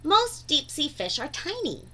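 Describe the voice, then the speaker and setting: A woman voicing an enthusiastic, calm female schoolteacher from a children's storybook, instructive and emphatic. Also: sits high, a little slow, pitched above the performer's own voice, heavily acted.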